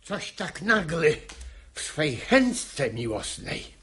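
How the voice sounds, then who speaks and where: An actor playing a gullible, outraged master of the house in a radio verse comedy, with heavy, indignant theatrical delivery. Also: male voice, on the high side, sing-song, drawn-out words, a bit bright, husky, exaggerated voice. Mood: indignant reproach. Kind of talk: classical stage comedy radio acting in verse.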